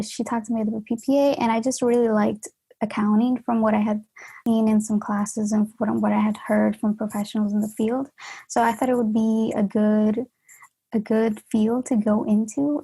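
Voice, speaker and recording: A young woman in her twenties from Honduras, a graduate student answering interview questions in an earnest, measured way. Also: on the high side, warm tone, husky. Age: 20s.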